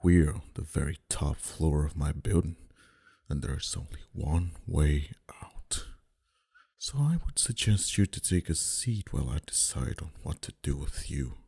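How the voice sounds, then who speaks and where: A man playing a dominant, flirty mafia boss in an intimate ASMR roleplay, talking in a deliberate, quietly threatening and teasing manner. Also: a bass voice, lively intonation, vocal fry, husky, exaggerated voice. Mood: amused, menacing. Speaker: voice actor.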